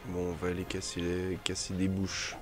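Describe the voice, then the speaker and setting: Very low-voiced man with a cold. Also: completely flat delivery, normal speed. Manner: rambling, repeats phrases. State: has a head cold. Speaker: let's play host. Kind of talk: casual gameplay commentary. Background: game music.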